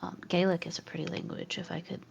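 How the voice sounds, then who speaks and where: Woman from california, lowish voice, lo-fi muffled mic, chatty guest, laughs a lot. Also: quick pace, vocal fry, in a whisper. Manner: laughs often, lively. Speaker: podcast guest. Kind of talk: casual chat.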